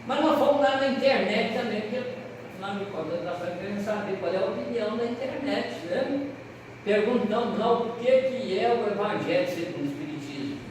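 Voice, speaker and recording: A Brazilian man giving a seminar talk, an animated storyteller who pauses on words and corrects himself aloud. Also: high voice. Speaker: lecturer at a Spiritist seminar. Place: lecture hall. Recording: echoey hall, voice from far off, faint hiss.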